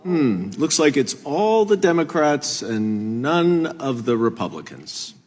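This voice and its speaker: A man speaking publicly with deliberate, halting phrasing. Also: mid-range voice, sing-song, darkish tone. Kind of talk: public speech. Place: large echoing hall. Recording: reverberant hall.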